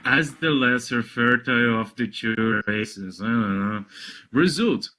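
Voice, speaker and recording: A man reading aloud mockingly in casual banter, halting often with exasperated, incredulous asides. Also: mid-range voice, sharp tone. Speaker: reader on a comedy reading show.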